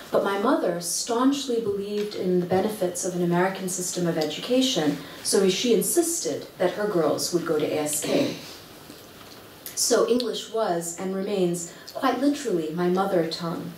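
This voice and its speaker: A woman from Kuwait, an author reading a prepared talk at a library event in a measured, articulate way. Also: mid-range voice, a little mellow, a little hiss or hum. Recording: echoey room, heard from far off.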